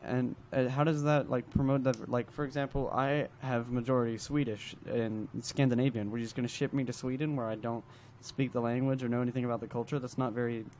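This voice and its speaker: A man's voice: mid-range voice, steady tone, a little fast, few pauses, darkish tone. A man in a casual group debate, talking in an argumentative, questioning way over a noisy recording.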